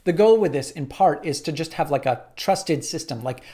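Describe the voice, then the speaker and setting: A man casually explaining his songwriting workflow in a relaxed tutorial, with frequent "uh" and "like". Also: on the high side, moderate pace. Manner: relaxed, lots of "uh" and "like".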